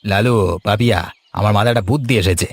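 A man's voice: lowish voice, fast talker, dry recording, close to an everyday voice. A man playing a clever, confident village schemer in a cartoon story, speaking in an explanatory way. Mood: confident plotting. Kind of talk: Bengali cartoon story voice acting. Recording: music behind the voice.

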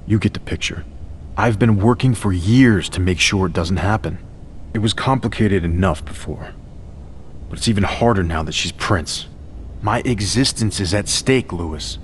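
Wary vampire outcast for a game: low-pitched, gruff, cynical, dismissive, over faint background noise. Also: male voice. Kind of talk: video game cutscene acting.

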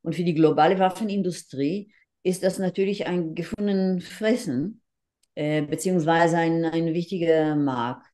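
An older woman with a Hebrew background speaks into a laptop on a video call from home. Her voice sits low and sounds soft and mellow. She explains her points carefully, pausing and restarting often. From Israel. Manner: measured, with frequent hesitations and restarts. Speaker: interview guest. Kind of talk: earnest explanatory interview answers. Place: living room at home.

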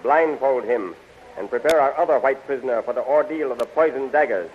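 A man's voice: medium pitch, brisk, natural voice. A man playing a stern, commanding alien prince in theatrical 1930s radio serial style. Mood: imperious. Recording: muffled, hissy 1930s radio recording.

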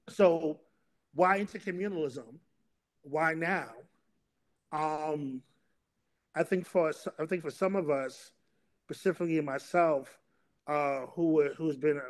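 A middle-aged man moderating a panel and introducing its topic and speakers, in a halting delivery that often repeats words. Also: on the high side. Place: remote video call.

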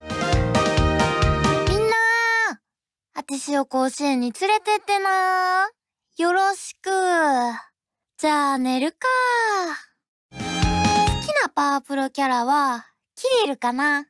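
Cheerful high school ball girl for a game: high voice, drawn-out endings, animated delivery. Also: no room sound, full character voice. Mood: relaxed, playful. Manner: drawn-out playful sentence endings. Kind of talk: game character voice lines. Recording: loud game music behind the voice. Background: game music.

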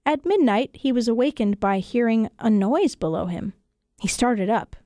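A woman's voice: fairly high pitch, normal speed, no room sound. A woman storyteller narrating a scripted children's tale expressively, recorded in a studio. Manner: expressive, acts out dialogue. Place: radio studio.

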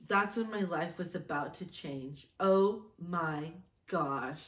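Woman, late 30s, lowish voice, expressive casual read-aloud, roomy distant mic. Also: slow, a little hiss or hum. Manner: expressive, giggly, self-interrupting. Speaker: vlogger mom reading her old journal. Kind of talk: reading a diary aloud with casual asides. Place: small indoor room, seated at a table.

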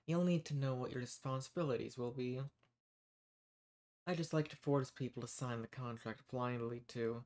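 A man voicing an ancient, dominant archdemon in a scripted roleplay audio, smug and amused, talking down to the listener with drawn-out emphasis. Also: mid-range voice, lightly acted. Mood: smug, commanding.

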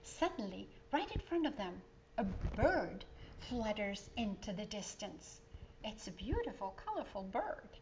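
Middle-aged woman, children's storyteller, unhurried pace, expressive, slightly dull recording. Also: medium pitch, slight background noise. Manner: expressive, addresses the young listeners with questions. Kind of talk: children's storybook read-aloud with chatty asides. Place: indoor living room.

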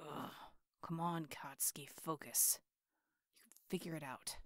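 A woman's voice: low voice, dry recording, clean studio sound. A woman voicing a brash, hot-tempered teenage boy hero in an audio roleplay; he swears constantly, boasts, and snaps at everyone.

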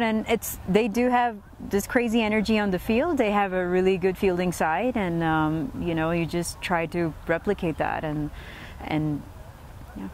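A woman in her thirties, a player giving post-match interview answers outdoors into a lapel mic. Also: lowish voice. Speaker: cricket player. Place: outdoor cricket field. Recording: faint outdoor ground noise.

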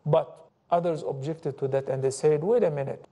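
Middle-aged guy lecturing on tv, mellow warm voice, fairly high, lively hand-waving studio talk. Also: normal speed. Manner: animated, gesturing, reciting Arabic quotations. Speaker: Islamic scholar and TV host. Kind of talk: televised religious lecture. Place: indoor TV studio set.

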